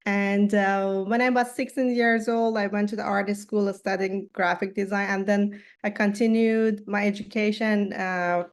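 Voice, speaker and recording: A woman from Iran, a children's book illustrator, answering interview questions with many pauses and fillers. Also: medium pitch, level tone. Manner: hesitant, many ums and uhs. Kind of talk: casual interview.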